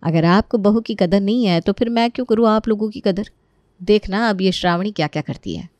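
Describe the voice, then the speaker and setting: An actress playing a sly young daughter-in-law who feigns illness, in an animated moral story. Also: female voice, mid-range voice, a little fast, no echo, lightly acted. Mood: sly, resentful.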